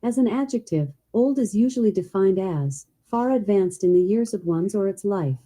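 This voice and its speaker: A synthetic woman's voice of a smart speaker assistant, reading a definition in a smooth, even delivery, heard from a speaker away from the mic. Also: mid-range voice, moderate pace.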